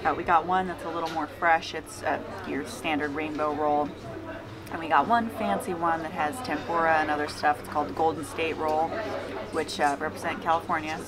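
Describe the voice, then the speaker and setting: A woman's voice: low-pitched, fairly even intonation, brisk. A woman in her thirties talking casually to camera as a food and travel vlogger. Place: busy indoor cafes and restaurants. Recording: loud busy restaurant chatter behind.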